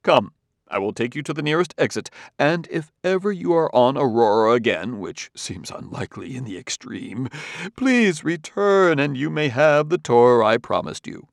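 A man acts out a courtly roboticist from an audiobook, all smooth talk and wolfish friendliness. His lines run on softly and swing up high in very lively melody, close and dry. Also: normal speed, dry recording. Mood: smoothly gracious. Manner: courtly, talkative, softly running sentences. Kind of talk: audiobook character dialogue.

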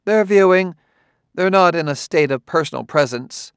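A man reading an audiobook, voicing a brisk, matter-of-fact woman who runs a children's farm, easily exasperated. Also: a little high, smooth, no echo, studio quality, pitched above the performer's own voice, lightly acted. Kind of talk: audiobook character voice.

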